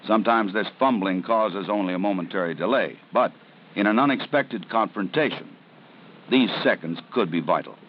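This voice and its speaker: A man narrating a 1970s police training film in a measured, serious, instructive way. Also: lowish voice. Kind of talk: scripted documentary narration. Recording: dull 1970s film soundtrack with faint hiss.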